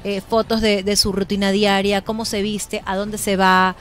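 A woman's voice: mid-range voice, little pitch movement, moderate pace, a bit bright. A woman in her forties with an Ecuadorian accent, hosting a casual radio chat on a close studio microphone. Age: 40s. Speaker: radio host. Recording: faint music behind the voice. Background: faint music.

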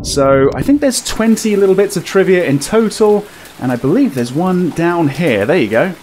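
Lively male gaming host. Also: sits high, normal speed, a little hiss or hum. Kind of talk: casual gameplay commentary to viewers. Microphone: desk microphone close up, headset worn. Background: game music.

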